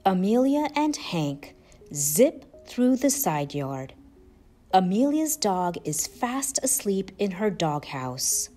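A woman storyteller reading a children's picture book aloud with deliberate, expressive phrasing. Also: mid-range voice, faint music behind. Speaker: storyteller and channel host.

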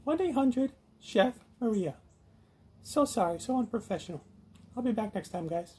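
A bossy, easily exasperated lady chef puppet, acted out by a man hosts a comic cooking show. The voice is high yet soft-edged and dark in tone. She delivers everything with theatrical indignation. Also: animated, moderate pace, breathy edge, full character voice. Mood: weary, apologetic. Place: home garage.

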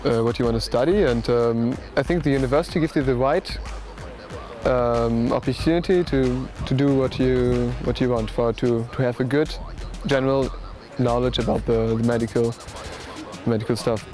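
Young German man, medical student, halting testimonial outdoors, lapel mic, mid-pitched, music bed faint. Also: steady tone, dark tone. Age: 20s. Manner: hesitant, many restarts. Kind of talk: interview testimonial. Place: outdoors by the sea cliffs.

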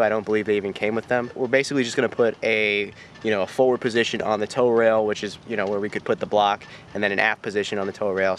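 Relaxed young man explaining boat measurements. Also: on the low side. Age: young adult. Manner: easygoing, explaining step by step. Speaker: sailing vlog co-host. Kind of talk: casual how-to vlog. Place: outdoors on a sailboat in a boatyard. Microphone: handheld camera close up. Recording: faint outdoor wind noise.

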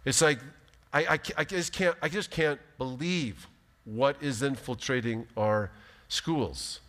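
A pastor in his fifties giving an animated, emphatic sermon from a church stage. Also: medium pitch, slow pace. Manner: animated, emphatic preaching with big gestures. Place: large church stage, indoors. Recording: long hall reverb.

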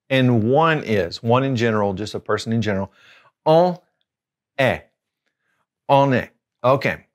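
A man in his forties teaching a video language lesson on a lapel mic in a studio, patiently repeating each word and spelling it out. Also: mid-range voice. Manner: gestures with his hands while explaining. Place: studio with a dark backdrop.

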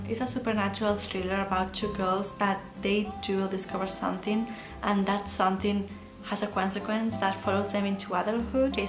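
A young woman from Spain with a Spanish accent, chatting casually about books on camera in a small room at home. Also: medium pitch. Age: young adult. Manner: chatty, gestures a lot with her hands. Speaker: book vlogger. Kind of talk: casual chat. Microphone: camera or laptop mic facing her. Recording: roomy echo with music underneath.

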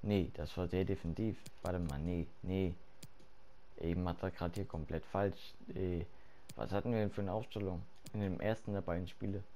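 Hoarse man doing casual game commentary. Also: deep voice, moderate pace, a little hiss or hum. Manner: casual, swears freely, thinks aloud with fillers; loud and excited at the start, quieter later. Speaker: gaming YouTuber. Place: home room at night.

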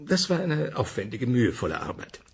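A man's voice: mid-range voice, big pitch swings, brisk, slightly rough, exaggerated voice. An actor playing an eager, knowledgeable male scientist explaining things in a German sci-fi radio play.

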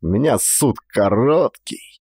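A man voicing a blustering, triumphant mine guard in an audiobook drama, excited and scolding. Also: mid-range voice, slow, husky, dry recording, clean studio sound. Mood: agitated, boastful. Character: blustering, triumphant male mine guard chasing gold thieves.

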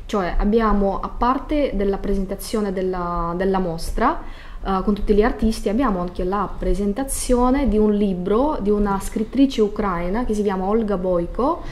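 A woman's voice: mid-range voice, normal speed, darkish tone, a little hiss or hum. A woman in her thirties talking casually in a studio interview, hesitating as she searches for words. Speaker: guest event organiser. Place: small glass-walled broadcast studio. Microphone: studio desk microphone.

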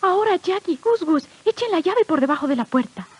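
An actress playing a gentle, earnest young fairy-tale heroine on a 1950s storybook record. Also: female voice, extremely high pitch, expressive, brisk. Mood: urgent. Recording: vintage 1950s record with music.

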